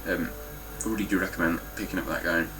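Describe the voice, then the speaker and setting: A man's voice: low-pitched, steady tone, fast, music in the background, low-quality recording. A man with a stuffy, nasal voice giving a casual, blunt spoken review of his game collection, with frequent ums and some swearing.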